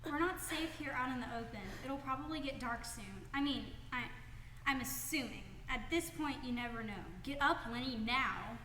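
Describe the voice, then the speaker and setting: A woman's voice: high voice, moderate pace, pushed and bright. A woman playing an anxious, sensible young girl, an earnest and bossy older sister, in a radio drama. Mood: bossy, worried. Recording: echoey reverberant sound with faint noise.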